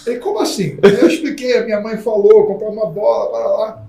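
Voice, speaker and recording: A man hosting a casual podcast conversation, a lively storyteller who acts out the people in his anecdotes. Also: high voice, a little fast, vocal fry. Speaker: podcast host. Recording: roomy sound from a distant mic.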